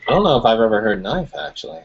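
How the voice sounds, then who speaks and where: A man's voice: on the low side, sing-song, rapid-fire, gravelly, slight background noise. A man in his early twenties chatting casually about music, laid-back and profane, laughing often. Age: 20s. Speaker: music producer guest. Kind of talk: casual podcast chat. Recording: dull lo-fi stream audio.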